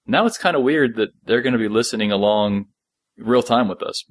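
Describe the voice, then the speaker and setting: A man's voice: medium pitch, a little fast. A man co-hosting a podcast in casual chat, talking in an informal, rambling, jokey way. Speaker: podcast co-host. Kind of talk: casual chat about an audio drama.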